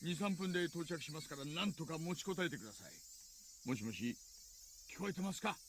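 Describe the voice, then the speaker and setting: A man's voice: on the high side, expressive, racing, fairly bright tone, a little hiss or hum. A man playing a middle-aged police detective who presses and coaxes urgently over the phone, in game voice acting. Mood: pleading.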